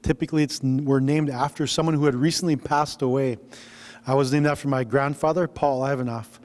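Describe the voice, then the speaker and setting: Man presenter from Alaska, relaxed and conversational, mid-pitched, in a reverberant hall. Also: brisk. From Unalakleet, Alaska, United States. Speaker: workshop presenter. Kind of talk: moderating and self-introduction.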